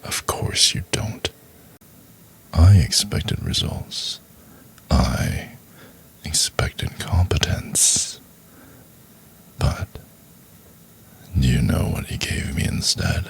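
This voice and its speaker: A man playing a cold, domineering, contemptuous chief executive in an ASMR roleplay, speaking in a husky, condescending, deliberate half-whisper. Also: low-pitched, lively intonation, exaggerated voice, low-quality recording. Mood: cold contempt. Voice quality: husky, gravelly. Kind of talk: intimate ASMR roleplay, acted monologue.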